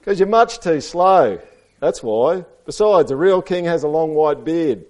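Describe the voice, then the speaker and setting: Man doing a high-pitched mocking goat. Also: animated, a little slow, a little mellow. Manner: mocking, emphatic. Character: vain bearded goat, mocking and boastful.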